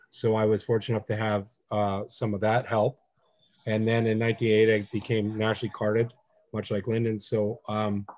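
Low-voiced man on a webcam call. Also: a little mellow, no echo. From Saskatchewan, Canada. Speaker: Olympic wrestler guest. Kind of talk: casual storytelling on a video call. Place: indoor office room. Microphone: video call webcam.

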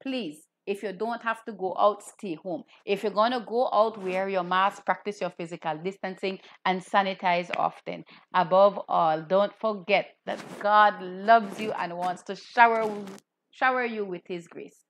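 Woman minister, emphatic and deliberate. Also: mid-range voice, steady tone, a little hiss or hum. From Belize. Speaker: Anglican minister hosting children's Bible program. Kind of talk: children's Bible teaching to camera.

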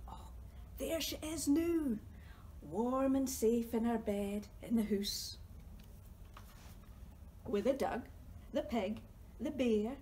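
A woman's voice: on the high side, mellow, a little hiss or hum. A Scottish woman leading a library storytime for young children, reading a rhyming picture book in a playful sing-song voice and singing nursery songs.

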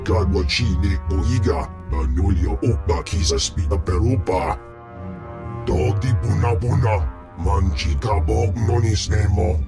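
A man's voice: fairly low pitch, measured pace, gravelly, loud music behind the voice. A man voicing a huge, imperious slug-like alien crime lord in a video game. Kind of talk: video game cutscene acting in invented alien speech.

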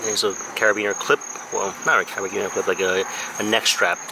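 A man's voice: on the low side, little pitch movement, quick pace, gravelly. An American man in his thirties giving a casual, informal hands-on product review outdoors, with a plain, slightly hesitant way of talking. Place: backyard deck, outdoors. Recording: outdoor noise with insects and traffic.

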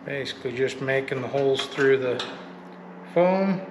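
A man's voice: medium pitch, moderate pace. A man hosting a casual workshop build video, talking loosely with lots of ums while he works. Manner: relaxed, rambling, many ums while working. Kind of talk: casual hands-on how-to talk. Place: garage workshop. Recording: echoey shop with loud background noise.

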